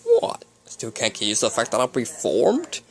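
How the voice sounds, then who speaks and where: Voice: medium pitch, wildly swooping pitch, moderate pace. A reader voicing a mischievous, boastful male chaos creature in a storybook, with a theatrical, exclaiming delivery. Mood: sardonic.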